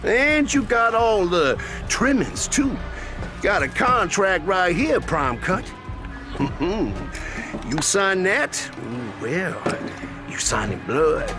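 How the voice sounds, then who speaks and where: A man's voice: high voice, sing-song, slow, over music, heavily acted. An actor playing a flamboyant, food-loving wasteland race promoter in a video game, with a folksy, drawling showman's patter. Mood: gleeful, sly. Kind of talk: theatrical video game character acting.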